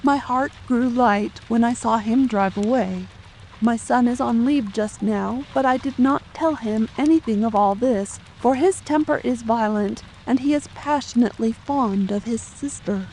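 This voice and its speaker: A woman's voice: a little high, measured pace, darkish tone, slight background noise, poor audio. A woman playing a distressed, fearful middle-aged widow and protective mother in formal, old-fashioned phrasing for a dramatic audiobook. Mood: anxious, sorrowful. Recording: faint rain ambience behind.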